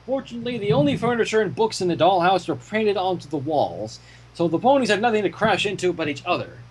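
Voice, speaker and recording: Male reader, fan fiction narration. High-pitched for a man, very expressive delivery. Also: fast talker, husky, low-quality recording. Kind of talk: expressive storybook-style narration.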